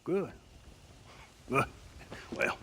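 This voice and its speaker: An actor playing a blunt, folksy older American ranch owner in a western video game, with a gravelly voice. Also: male voice, very slow, pushed and bright. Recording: faint background noise.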